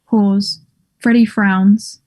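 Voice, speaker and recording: A woman narrates stage directions from a script. Her voice is very mellow and sits at an average pitch. The reading is plain and neutral, in short statements. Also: flat delivery, extremely slow, a little hiss or hum.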